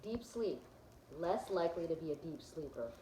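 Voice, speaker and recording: A woman's voice: sits low, animated, normal speed, mellow, echoey room, slight background noise, low-quality recording. A woman vlogger chatting casually as she clicks through her results, with plenty of ums and restarts. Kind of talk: casual chat, walking through results on screen.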